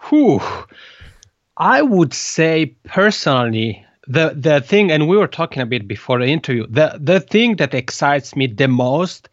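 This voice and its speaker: A man's voice: fairly high pitch, very animated, unhurried, no echo. Swiss-Italian man in his thirties, accented guest, restarts phrases, dead dry muffled line. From Italian-speaking Switzerland. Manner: hesitant, repeats words while searching for phrasing. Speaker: podcast guest. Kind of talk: conversational interview.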